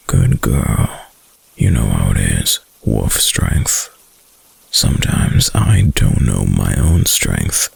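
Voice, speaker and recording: An extremely low, breathy wolf-boy boyfriend, played by a man, murmuring close to the mic in an intimate ASMR roleplay. He is dominant, doting and possessive, drawing his words out slowly with a heavy gravelly fry. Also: a little mellow, dry recording, exaggerated voice. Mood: tender, teasing. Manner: slow, murmured, cooing and possessive. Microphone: close, dry microphone.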